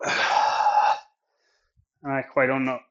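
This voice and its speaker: A man whose first language is Swedish, talking in English through a training session and reflecting on it in a slow, halting way. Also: a bit bright, highs cut off. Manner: slow, thoughtful, halting phrasing. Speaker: athlete training on camera. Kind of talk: reflective training vlog narration.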